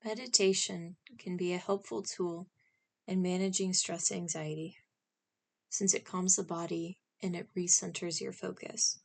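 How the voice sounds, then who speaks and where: A woman leading a scripted guided meditation in a calm, instructional manner, speaking in short, spaced phrases. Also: fairly low pitch, moderate pace, creaky.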